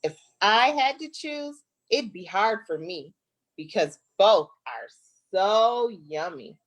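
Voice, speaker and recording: A woman from Flint in the United States, an author reading her children's book aloud with deliberate, playful expression. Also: medium pitch, very animated.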